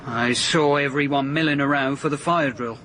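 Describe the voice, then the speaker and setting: An actor playing a surly, touchy caretaker in a radio drama, curt, defensive and grudging. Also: male voice, mid-range voice, steady tone, moderate pace, a bit bright, a little hiss or hum, close to an everyday voice.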